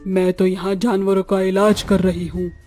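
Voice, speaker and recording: A man's voice: sits high, little pitch movement, moderate pace, mellow, soft background music, close to an everyday voice. A man voicing a compassionate female witch ghost in a cartoon, speaking earnestly and plaintively. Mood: earnest, sorrowful. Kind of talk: Hindi horror cartoon voice acting.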